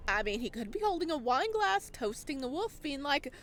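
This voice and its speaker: A woman's voice: sits high, very animated, moderate pace, fairly bright tone. A woman playing a visual novel, reading it aloud and chatting with reactions as she goes. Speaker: video game streamer.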